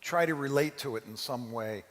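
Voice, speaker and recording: A man speaking as a rabbi, informally introducing a dramatic reading to a congregation in a halting, conversational way. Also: medium pitch, fairly bright tone. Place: large reverberant hall, amplified to an audience. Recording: long hall reverb.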